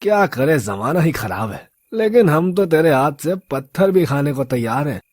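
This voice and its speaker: A man's voice: fairly high pitch, very animated, moderate pace, darkish tone, no echo, clean studio sound. A man playing a drunk, lecherous local thug boss in a story, leering and drawling street slang. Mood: lewd, taunting. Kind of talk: Hindi audio story character acting.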